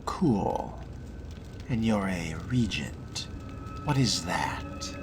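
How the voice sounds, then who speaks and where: A man's voice: sits low, lively intonation, extremely slow, music in the background, full character voice. A man playing a cool, curious newcomer vampire in a video game role-play, talking in a laconic, casual way. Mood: cool, inquisitive.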